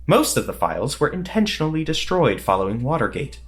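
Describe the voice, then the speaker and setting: A man playing a dry, sardonic veteran secret agent in an audio drama, terse, pedantic and deadpan. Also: on the high side, a little fast. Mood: cool, matter-of-fact.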